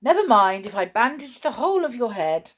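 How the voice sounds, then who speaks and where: A woman's voice: a little high, very animated, pitched above the performer's own voice. Lady doing a bustling old gnome doctor man, kindly n fussy, storybook voice that swoops about. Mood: bustling concern. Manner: soothing, repetitive, brisk.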